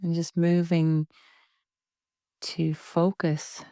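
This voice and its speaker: A woman leading a guided relaxation meditation in a soft, breathy, soothing way with long pauses. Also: lowish voice, flat delivery, dry recording, studio quality. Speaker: yoga nidra meditation guide.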